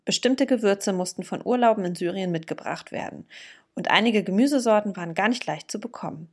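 A woman hosting a cooking interview podcast, reading a scripted intro and then asking slow questions with fillers. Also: medium pitch, darkish tone. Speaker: podcast host.

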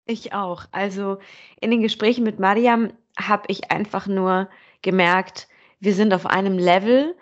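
A woman's voice: mid-range voice, fairly even intonation, measured pace, dull audio. A woman hosting a podcast, talking in an enthusiastic, casual way with frequent fillers. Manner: warm, enthusiastic, chatty with fillers. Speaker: podcast host. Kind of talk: casual interview chat.

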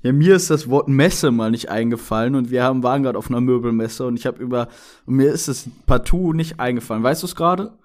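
A man's voice: medium pitch, fast talker, a little mellow. A man in a casual conversation, joking around and adding wry asides to what he reads out. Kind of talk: casual chat.

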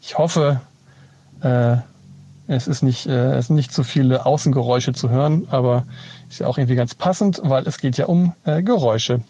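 Mellow man explaining casually from a car. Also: mid-range voice, moderate pace, husky, no echo, lo-fi sound. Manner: informal, explanatory. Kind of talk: casual spoken voice message. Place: inside a car.

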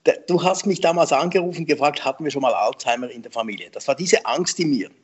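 A man, a memory trainer telling lively, self-deprecating anecdotes in an interview and laughing often. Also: a little high, quick pace, breathy edge. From Switzerland. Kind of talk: conversational storytelling interview.

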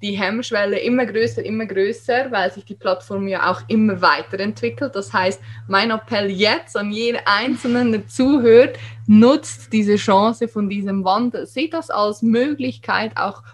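A woman's voice: medium pitch, moderate pace. A woman with a regional German dialect, talking candidly and emphatically about social media in a casual podcast chat. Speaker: guest, Instagram creator.

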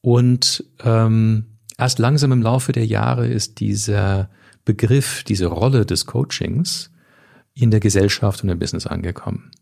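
A Canadian man who learned English first, speaking German as a coach and podcast host in a measured, reflective way. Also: lowish voice, vocal fry, a little mellow, no echo, clean studio sound. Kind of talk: scripted intro then interview answers.

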